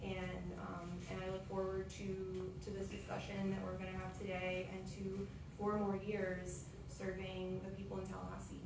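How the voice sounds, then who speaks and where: A woman's voice: medium pitch, completely flat delivery, normal speed, vocal fry, few pauses. A woman in her thirties, a city commissioner giving an earnest opening statement at a candidate forum with frequent ums. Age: 30s. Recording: echoey hall, far from mic, noisy.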